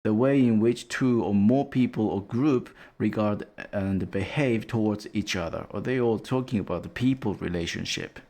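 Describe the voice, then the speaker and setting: A young man hosting a casual streamed study session, talking hesitantly with many fillers and soft laughs. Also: lowish voice, normal speed, few pauses. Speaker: stream host leading a language study session.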